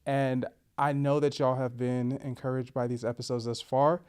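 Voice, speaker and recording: A young adult man hosting a podcast, upbeat and energetic as he introduces the show and questions his guest. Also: medium pitch, moderate pace, clear, resonant tone. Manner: upbeat, energetic, laughs often. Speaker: podcast host.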